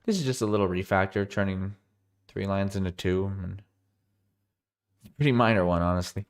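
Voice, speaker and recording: A man's voice: deep voice, moderate pace. A man with a cold walking through his code on a live stream, tired and thinking aloud, often correcting himself. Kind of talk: live coding walkthrough.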